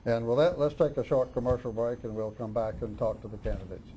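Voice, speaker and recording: A middle-aged man hosting a local candidate forum, talking haltingly with many "uh" fillers. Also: medium pitch, steady tone, low-quality recording. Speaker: talk show host.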